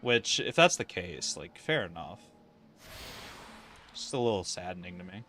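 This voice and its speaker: Low-voiced man streaming a game. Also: very animated, normal speed, sharp tone, faint music behind. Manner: rambling, thinks aloud, casually sweary. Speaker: game livestreamer. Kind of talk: casual livestream commentary while playing an online fantasy game.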